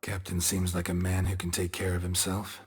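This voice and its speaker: An actor playing a stoic, unflappable monster hunter in a video game, gravelly and laconic, with clipped deadpan lines. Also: male voice, sits low, moderate pace, long reverb tail. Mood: calm, deadpan. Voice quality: gravelly, raspy. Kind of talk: understated video game acting.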